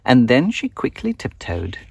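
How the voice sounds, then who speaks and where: A man narrating a children's picture book aloud in an expressive, theatrical and at times hushed storytelling style. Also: mid-range voice, a little slow, vocal fry, slight background noise. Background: nature and insect sound effects.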